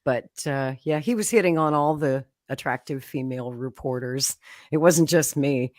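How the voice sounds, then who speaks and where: Low-voiced woman. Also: moderate pace, darkish tone, no echo, clean studio sound. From Oklahoma, USA. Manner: chatty, frequent laughter, acts out quotes. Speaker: political guest. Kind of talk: conversational storytelling.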